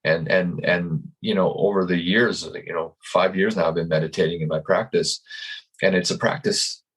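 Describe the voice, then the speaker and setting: A man with a very low voice and a husky, breathy edge talks slowly through his thoughts over a video call. He is in his fifties, calm and reflective, and often stops to restart a sentence or repeat a word. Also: low-quality recording. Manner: calm, searching, many restarts and repeated words. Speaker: podcast guest. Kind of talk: reflective interview talk.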